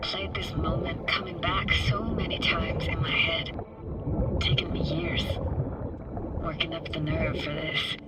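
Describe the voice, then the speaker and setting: A young woman techie diver from a video game, talks in short, slangy, teasing phrases. She is wry and guarded with a sentimental streak. Music plays clearly behind her. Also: low voice, flat delivery, unhurried. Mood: reflective, nostalgic. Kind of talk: video game voice acting, casual dialogue.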